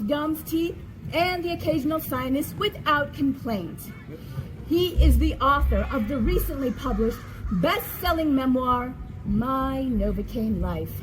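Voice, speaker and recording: A woman acting a grandiose announcer in a stage comedy, chirpy and ceremonious. Her pitch is high, over a noisy live recording. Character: grandiose, chirpy female announcer at overblown events.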